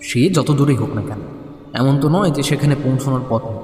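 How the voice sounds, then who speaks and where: An actor playing an earnest, loyal, persuasive friend in a dramatised audio horror story. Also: male voice, medium pitch, fairly quick, a little mellow, close to an everyday voice. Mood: pleading. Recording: echoey reverb, music underneath.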